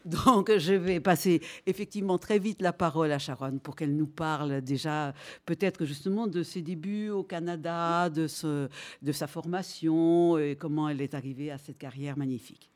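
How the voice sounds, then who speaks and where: A woman's voice: deep voice, normal speed. An older woman hosting a talk, formally introducing a guest singer with some hesitations. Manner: warm, formal introduction with fillers.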